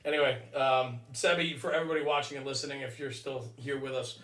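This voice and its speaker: A man's voice: mid-range voice, fairly even intonation, fast talker, a bit bright. A man hosting a casual podcast chat, affirming and repeating short phrases, recorded roomy and a little off the mic. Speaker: podcast host. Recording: roomy, distant mic, faint music.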